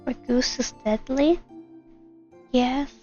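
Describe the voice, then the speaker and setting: A woman's voice: sits high, takes it slowly, highs cut off. A woman streamer giving casual, musing, reactive live commentary over gameplay. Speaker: VTuber game streamer. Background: soft game music.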